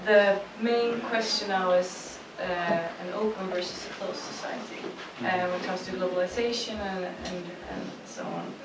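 A woman's voice: on the low side, steady tone, unhurried, few pauses. Earnest Swedish-accented woman on a panel. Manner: earnest, with many fillers. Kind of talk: panel discussion remarks. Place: seminar room with audience. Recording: distant, roomy, noisy.

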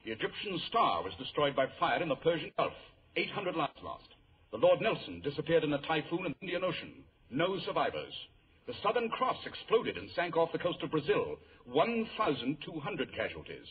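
A man's voice: fairly high pitch, wildly swooping pitch, fairly quick, breathy edge, heavily acted. A man playing a brilliant, imperious consulting detective with a British accent and clipped, precise delivery in a radio drama. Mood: keen, clipped. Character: brisk, irritable, brilliant male consulting detective. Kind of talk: 1940s radio drama acting. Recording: tinny, hissy old radio recording.

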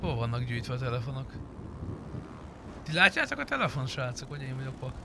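A man with a medium-pitched voice talks casually as he plays a roleplay game with friends. He is blunt and swears freely. Loud engine and street ambience from the game runs behind his voice. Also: very animated, gravelly, background noise. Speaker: gamer in a group roleplay session. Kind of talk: casual gaming banter.

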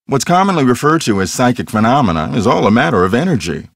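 Video game ghost hunter: cocky, theatrical, earnest, lively pitch swings, dry close sound. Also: male voice, fast talker, dry recording. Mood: earnest, intense. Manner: theatrical, self-assured explaining.

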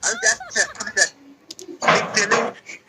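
Male phone-call recipient, West Bengal accent, rapid, questioning casual chat, very animated pitch. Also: very noisy. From West Bengal, India. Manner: questioning, insistent.

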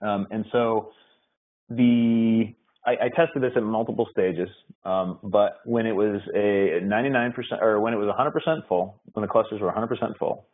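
A man presenting benchmark results at an online meetup, talking in a halting way with many fillers and restarts. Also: on the low side, steady tone. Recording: tinny phone-like web call audio.

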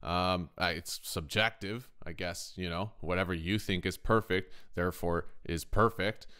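A man hosting a casual software tutorial from a kitchen, chatty and informal, often checking in with questions. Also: low voice, fairly even intonation, unhurried, smooth. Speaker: tutorial host, touring guitar tech.

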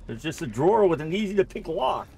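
A man's voice: fairly high pitch, very animated, quick pace, gravelly, dark tone, a little hiss or hum. A man giving casual, rambling and jokey commentary as he plays a video game, often repeating himself. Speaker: gamer narrating a playthrough.

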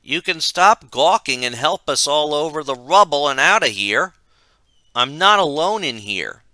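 A man voicing an excitable, eager young man in a loud voice for an adventure story. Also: a little high, unhurried, dry recording, voice pushed up, heavily acted. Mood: impatient shouting.

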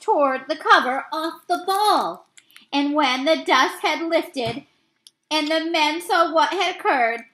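A woman in her thirties reading a poem aloud from a picture book, a little haltingly, stumbling and correcting herself. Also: very high-pitched, bright, forceful tone. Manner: halting, with misreadings and self-corrections. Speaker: read-aloud reader. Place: small indoor room.

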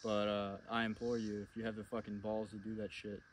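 A man's voice: fairly low pitch, flat delivery, a little fast, slight background noise. A young man giving casual, blunt instructional talk to a camera outdoors, as a firearms instructor. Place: outdoors in a wooded clearing at a flat range.

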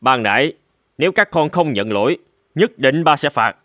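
A man's voice: mid-range voice, lively intonation, normal speed, sharp tone, no room sound. Stern, fair cartoon father. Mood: firm, forgiving. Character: stern but forgiving father of young boys. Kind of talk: cartoon voice acting. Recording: music under the dialogue.

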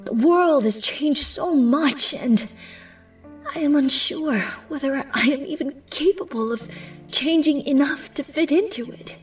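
A woman's voice: sits high, measured pace. A woman playing a grieving, remorseful pony princess of the night in a fan audio drama, speaking formally and often near a whisper. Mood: remorseful sorrow. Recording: distant, music underneath.

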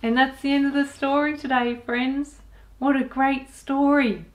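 A woman in her thirties reading a picture book aloud to young children, expressive and deliberate, as a storytime host. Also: sits high. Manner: expressive, deliberate, with sound effects and asides to listeners.

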